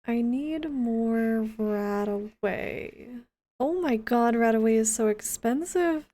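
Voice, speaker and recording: A woman's voice: fairly high pitch, takes it slowly, warm tone. A young woman streaming a game, chatting and giggling as she rambles about what she sees. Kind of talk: casual gameplay commentary.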